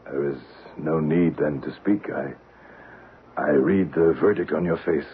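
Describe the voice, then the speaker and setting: A man's voice: rumbling low, measured pace. An actor playing a troubled middle-aged man of standing in an old radio drama, with a grave theatrical delivery. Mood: grave, bitter. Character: troubled middle-aged executive haunted by a noble past life. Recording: muffled, faintly noisy old radio recording.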